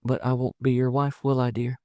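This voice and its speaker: A male narrator playing a tender young heroine of a frontier storybook. The voice is lifted higher, soft and hesitant, pleading anxiously with a lover in a dry recording. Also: level tone, a little fast, no echo, natural voice. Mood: tender, doubting. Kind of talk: audiobook character dialogue.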